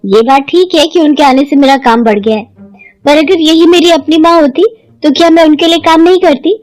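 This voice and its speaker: Woman playing an earnest, high-voiced young daughter-in-law. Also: fairly quick, darkish tone, faint music behind, close to an everyday voice, low-quality recording. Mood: indignant.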